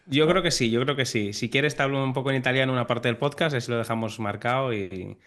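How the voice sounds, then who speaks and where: A man in his thirties from Spain, a pilot telling his story in a relaxed interview. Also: medium pitch, fast. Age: 30s. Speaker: airline pilot guest.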